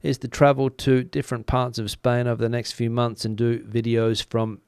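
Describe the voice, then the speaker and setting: A man's voice: medium pitch, little pitch movement, moderate pace, a little mellow, smooth, no room sound, clean studio sound. A middle-aged man, a native English speaker, hosting a casual live-stream news chat in a chatty, rambling way with many filler sounds. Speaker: news channel host. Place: home studio in front of a backdrop picture.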